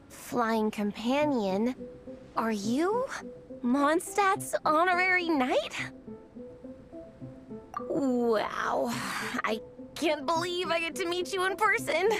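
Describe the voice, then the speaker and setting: An earnest young athlete, wide-eyed in awe yet doubtful of herself. She speaks high and unhurried. Game music is clearly heard behind her. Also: lively intonation, heavily acted. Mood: starstruck. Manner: eager yet unsure, breathless with awe. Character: earnest, starstruck young woman athlete in training. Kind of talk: video game voice acting.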